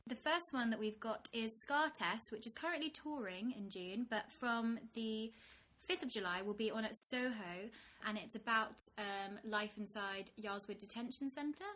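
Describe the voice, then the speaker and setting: A woman's voice: on the high side, normal speed, hardly any pauses, fairly bright tone, slight background noise. A woman host wrapping up an interview and introducing upcoming shows in a casual style. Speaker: podcast host. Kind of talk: casual chat.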